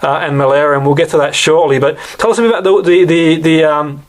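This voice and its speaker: Rambling, hesitant radio host. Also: male voice, on the high side, steady tone, fast. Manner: rambling, hesitant, many repeated words and fillers. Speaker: radio sports show host. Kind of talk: radio interview.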